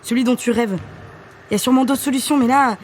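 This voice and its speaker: An actress playing a brisk, loyal athletics coach in an audio drama, urging and reassuring. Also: female voice, fairly high pitch, slight background noise, natural voice. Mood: firm, persuasive.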